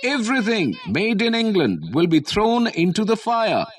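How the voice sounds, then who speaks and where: Man putting on a high, shouty excitable young boy. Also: moderate pace. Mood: excited, defiant. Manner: shrill, insistent shouting. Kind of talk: storybook character voice.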